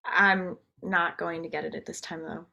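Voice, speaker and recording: A woman's voice: on the low side, flat delivery, normal speed, vocal fry, sharp tone, dull audio. A young woman playing a meek, unsure nineteen-year-old cashier, hesitant and apologetic, in an audio drama. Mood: politely awkward. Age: young adult.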